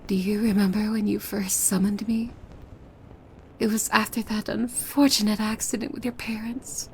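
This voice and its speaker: A woman playing a possessive demon maid in an ASMR roleplay, devoted and softly menacing, with hushed formal speech that often slips into breathy whispers. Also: medium pitch, flat delivery, moderate pace, slight background noise, natural voice. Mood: devoted, softly sinister.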